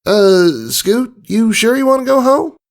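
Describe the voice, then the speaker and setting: Anxious little filly, storybook friend played by a man: very high, hesitant, pleading. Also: lively intonation, measured pace, heavily acted. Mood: worried pleading. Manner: hesitant, coaxing.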